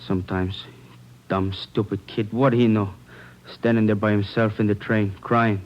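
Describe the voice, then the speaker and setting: Actor as a low-voiced, earnest, rueful grocer. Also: male voice, level tone, close to an everyday voice. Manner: halting, earnest, plain-spoken. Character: rueful, obliging male grocer in his thirties. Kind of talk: 1940s-50s radio drama acting. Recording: dull old radio recording.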